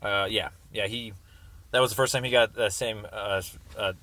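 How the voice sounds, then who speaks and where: A man's voice: on the low side, fairly even intonation, moderate pace, background noise. A man talking informally on a phone call as a caller, explaining things in a hesitant, rambling way. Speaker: caller making a complaint. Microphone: recorded phone call.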